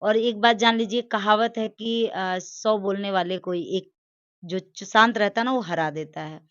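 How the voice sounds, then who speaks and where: A woman's voice: medium pitch, a little slow, no echo. A woman giving emphatic opinions in a conversational interview, repeating phrases for stress. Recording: dry, dull lo-fi sound.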